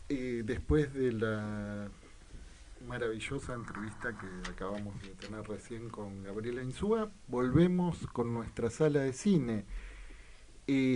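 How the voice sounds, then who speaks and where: A man's voice: mid-range voice, a little mellow, a little hiss or hum. A man hosting a radio show in a casual, live conversational style. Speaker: radio host.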